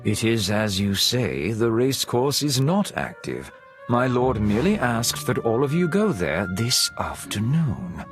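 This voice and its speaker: A man's voice: mid-range voice, very animated, unhurried. A man playing a courteous, guarded manor butler with formal, deferential speech in a game cutscene. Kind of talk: video game cutscene dialogue. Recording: music behind the voice.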